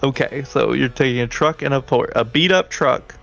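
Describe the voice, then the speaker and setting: A man's voice: medium pitch, normal speed, vocal fry, a bit bright, muffled recording. A man narrating a tabletop roleplaying game as the game master. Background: background music.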